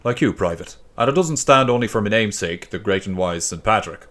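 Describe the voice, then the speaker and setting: Man acting a fiery Irish army corporal, animated pitch. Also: fairly quick. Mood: teasing, lecturing. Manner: blustering, exclaiming, lecturing with mock-pious flourishes. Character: fiery, exasperated Irish corporal, devout, teasing his young soldiers.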